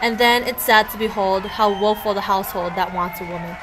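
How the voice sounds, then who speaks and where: A woman acting a sharp-tongued, cunning peasant wife in a rhyming comic radio play, with a scolding, theatrical delivery. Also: medium pitch, fairly quick, a crowd in the background, noisy background, close to an everyday voice. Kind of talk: verse radio play acting of a medieval comedy.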